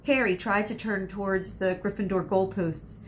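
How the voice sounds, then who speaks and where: Female read-aloud storyteller, medium pitch, expressive and dramatic, slight background noise. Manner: dramatic emphasis, pauses for suspense.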